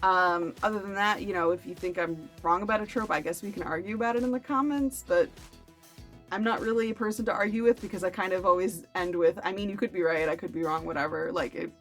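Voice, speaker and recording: A woman's voice: fairly low pitch, quick pace, faint music behind. A woman chatting casually to camera as a book review vlogger, with playful, self-deprecating asides.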